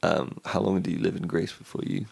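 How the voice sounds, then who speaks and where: A man's voice: deep voice, brisk, creaky, a little mellow, husky. A man interviewing casually, thinking aloud in an informal, rambling way.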